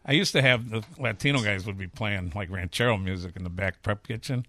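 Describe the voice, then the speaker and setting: A man's voice: on the low side, fairly quick, bright, forceful tone, dry recording. A man in his fifties with a Chicago accent, a chef talking candidly and rambling through stories in a casual interview. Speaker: chef and restaurateur.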